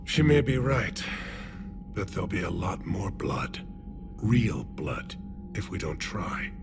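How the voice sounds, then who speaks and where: A man voicing a grave, courteous alien military leader in a video game, measured and formal. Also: low-pitched, big pitch swings, over music, full character voice. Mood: grave, polite.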